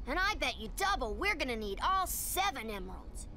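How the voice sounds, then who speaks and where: Young cartoon fox boy, very high voice, lively swings, earnest, clever game sidekick, music behind. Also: brisk, a little hiss or hum, heavily acted. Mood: eager, encouraging. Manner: earnest, explanatory. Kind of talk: animated video game acting.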